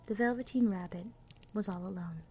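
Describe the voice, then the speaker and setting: A woman narrating a children's storybook expressively, with dramatic delivery that often drops toward a hush. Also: medium pitch, brisk, a little hiss or hum.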